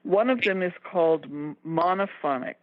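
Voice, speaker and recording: A woman's voice: lowish voice, moderate pace, no room sound. A woman co-hosting a radio talk show, explaining ideas in a conversational way. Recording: tinny, dry phone-line sound.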